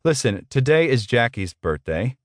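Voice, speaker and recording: A man's voice: medium pitch, big pitch swings, normal speed, no room sound, studio quality, close to an everyday voice. A man voicing a meek male office employee in an audiobook, deferential, polite and a little hesitant. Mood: concerned. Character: meek, earnest, bewildered male office worker.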